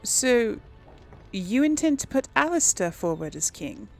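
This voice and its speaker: A woman's voice: a little high, very animated, measured pace, creaky, soft background music. A woman doing casual role-play commentary over a video game, musing in short asides. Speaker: gaming video host.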